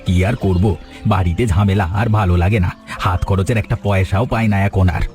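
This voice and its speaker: Man acting a sulky, fast-talking, low-voiced younger brother. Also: steady tone, darkish tone, faint music behind, natural voice. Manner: whining, demanding, insolent. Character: sulky, selfish, defiant grown younger brother. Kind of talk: melodramatic cartoon voice acting.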